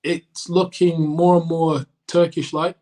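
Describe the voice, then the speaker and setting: A man with a croaky voice from an itchy throat, co-hosting a casual sports podcast in a measured, explaining way. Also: a little high, little pitch movement, mellow. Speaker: podcast co-host.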